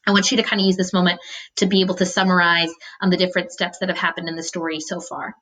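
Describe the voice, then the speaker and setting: A woman teacher reads a children's novel aloud in an expressive, instructive manner, pausing to explain to students. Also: on the low side, poor audio. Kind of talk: storybook read-aloud with teaching asides.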